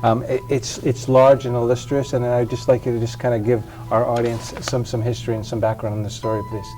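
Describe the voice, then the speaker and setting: A man hosts an interview in a warm, mellow voice, friendly and a bit rambling, with frequent fillers as he frames his questions. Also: medium pitch, flat delivery, fairly quick, faint music behind, low-quality recording. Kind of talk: interview hosting.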